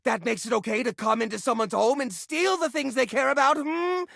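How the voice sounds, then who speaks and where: A man's voice: extremely high pitch, brisk, vocal fry, fairly bright tone, no room sound, studio quality, heavily acted. A man playing a neurotic, possessive hoarder, a junk drawer come to life in a video game, with a theatrical, whiny, defensive delivery. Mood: indignant, snarky. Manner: theatrical, whiny, defensive, stammering when upset. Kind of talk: video game character voice acting.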